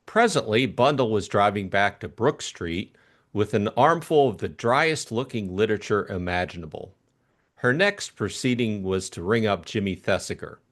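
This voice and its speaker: A man narrating a classic mystery novel as scripted, expressive audiobook reading. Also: fairly low pitch, normal speed.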